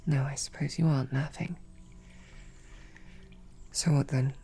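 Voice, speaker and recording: A woman playing a snarky, devoted female knight, stammering and flustered, often dropping to soft whispers. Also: rumbling low, fairly even intonation, a little slow, breathy edge, faint music behind. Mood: tender. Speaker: audio drama performer. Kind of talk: romantic audio roleplay.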